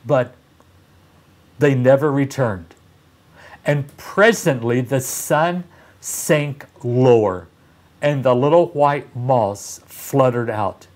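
Slow-paced older man reading a storybook. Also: mid-range voice, darkish tone. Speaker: read-aloud teacher. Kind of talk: expressive children's storybook narration.

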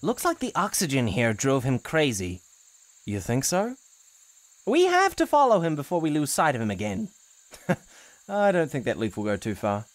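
A man's voice: mid-range voice, huge pitch swings, moderate pace, long pauses, no echo. A man voicing an anxious, well-meaning young teacup boy in a cartoon comic dub, hesitant and pleading. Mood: worried. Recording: prominent music behind the voice.

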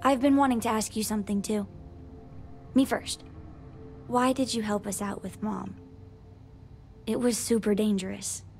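A woman's voice: mid-range voice, fairly even intonation, unhurried, creaky, pauses often, soft background music. A woman voicing a curious, forthright young girl in scripted video game dialogue, asking things directly. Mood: curious, serious.

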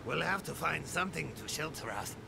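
Gravelly, tipsy old desert guide. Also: male voice, a little high, animated, fairly quick, a crowd in the background. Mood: ominous, genial. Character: drunk, weathered old male desert guide. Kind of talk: video game character acting. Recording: game music over the voice.

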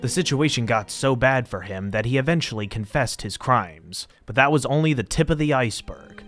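A man's voice: medium pitch, expressive, moderate pace, fairly bright tone, faint music behind. A man narrating a scripted recap of a story as its storyteller.

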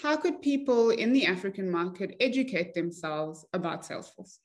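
A woman's voice: medium pitch, lively intonation, moderate pace. A young woman hosting a podcast interview over a video call, friendly and enthusiastic as she asks her questions. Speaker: podcast host.

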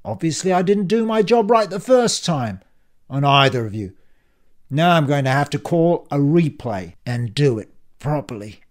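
A man voicing a menacing, foul-mouthed male bully in an audiobook, sneering and contemptuous, with hissed threats and loud bursts. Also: fairly high pitch, very animated, moderate pace. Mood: quiet venom. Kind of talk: audiobook character dialogue.

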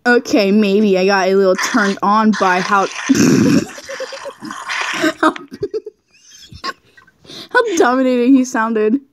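A woman's voice: mid-range voice, animated, takes it slowly, long pauses, slight background noise. A woman doing a casual, goofy read-aloud of fan fiction, giggly and often stumbling over her words. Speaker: amateur reader of fan fiction.

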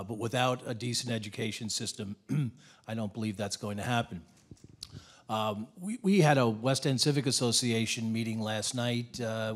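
A middle-aged man answering as a candidate at a forum, measured with many fillers and throat clearing, on a handheld mic. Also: on the low side. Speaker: mayoral candidate. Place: library meeting room. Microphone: handheld microphone.

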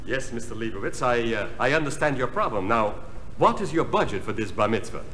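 An actor playing an unflappable party consultant with a salesman's measured delivery in a 1960s comedy album sketch. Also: medium pitch, sing-song, loud music behind the voice, close to an everyday voice. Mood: professional, knowing. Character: smooth-selling, unflappable bar mitzvah party consultant. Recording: 1960s comedy record with live audience.

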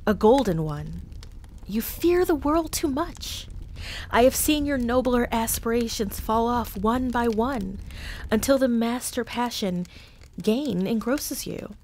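A woman voicing a young woman who speaks softly with quiet sorrow and resignation. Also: on the high side, expressive, takes it slowly, a little hiss or hum, voice pushed up, poor audio. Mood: sorrowful. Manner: soft, quiet.

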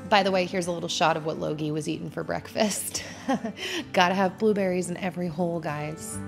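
A woman's voice: lowish voice, fairly even intonation, a little fast, gravelly, music in the background. A woman in her thirties, a vlogger chatting casually at home as she narrates her cooking. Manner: chatty, laid-back, with casual fillers. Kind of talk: cooking vlog voice-over. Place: home, indoors.

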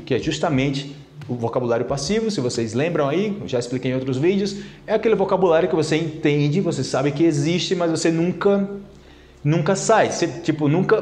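A Brazilian man in his thirties teaching a casual video lesson to camera on a lapel mic, animated and chatty, tagging his sentences with little questions. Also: a little high, roomy sound. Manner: animated, gesturing a lot with his hands; chatty, with many tag questions. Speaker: Portuguese teacher. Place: plain-walled room indoors.

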